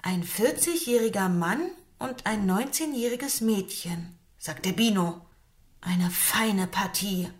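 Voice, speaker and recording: A woman's voice: mid-range voice, takes it slowly, natural voice. An actress playing an insistent, reproachful nineteen-year-old woman in a radio drama. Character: reproachful nineteen-year-old woman, practical, pressing her older lover.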